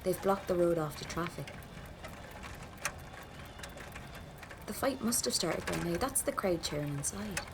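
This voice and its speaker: A woman's voice: on the low side, lively intonation, a little fast, background noise. An actress with a Dublin Irish accent playing a tense, hardened young rebel woman, narrating a 1920s audio drama in the first person. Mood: tense, nervous.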